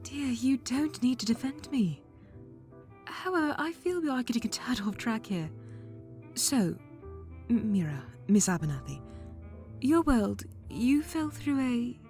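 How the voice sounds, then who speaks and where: A woman's voice: on the high side, big pitch swings, a little slow, dark tone, soft background music. A woman playing a regal, courteous, centuries-old vampire queen in an audio drama, speaking in a formal, genteel and teasing way. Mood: gently inquisitive. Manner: genteel, formal, uses endearments like dear. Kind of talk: scripted audio drama acting.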